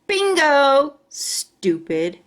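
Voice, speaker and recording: A young schoolboy bully from a children's storybook, acted by a woman reader, mean and full of brag. He talks loudly and tauntingly in a highish voice, drawing his words out. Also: very animated, very slow, full character voice. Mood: jeering. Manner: loud, taunting. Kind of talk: children's storybook read-aloud.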